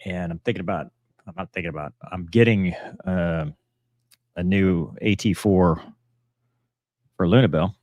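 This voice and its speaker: A man's voice: low voice, moderate pace, pauses often, a little mellow, no echo, highs cut off. A man hosting a casual live question-and-answer stream, talking in a rambling, matter-of-fact way that often trails off. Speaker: live stream host answering viewer messages; pilot and author.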